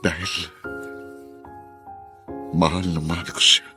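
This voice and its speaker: Sad humble ex-convict dad in a radio soap, deep voice, halting, music behind. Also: male voice, flat delivery. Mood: sorrowful resignation. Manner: halting, subdued. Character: meek, sorrowful ex-convict father yearning for his son. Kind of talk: melodramatic radio drama acting.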